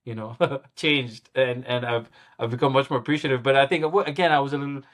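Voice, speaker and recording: A middle-aged man with an ordinary mid-pitched voice, speaking as a guest in a relaxed interview. He talks in a halting way, restarting and repeating words as he gathers his thoughts, and often gives a small laugh at himself. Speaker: guest musician. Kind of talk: casual interview storytelling.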